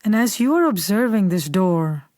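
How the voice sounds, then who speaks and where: Mellow, soothing woman guiding a meditation. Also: mid-range voice, no room sound, clean studio sound. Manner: calm, unhurried, soothing. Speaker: meditation guide. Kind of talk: guided meditation, slow scripted instruction.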